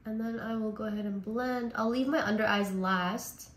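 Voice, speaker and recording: Woman, raspy, hoarse from a cold, casual makeup chat, echoey room. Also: mid-range voice, fairly even intonation, normal speed, a little hiss or hum. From Canada. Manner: chatty, says like and honestly a lot. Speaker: beauty vlogger.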